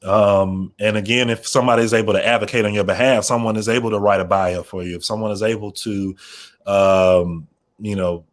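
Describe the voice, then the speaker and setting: A man's voice: on the low side, steady tone, fairly quick. An American man speaking as a radio program manager on a panel, explaining informally and often restarting phrases as he thinks.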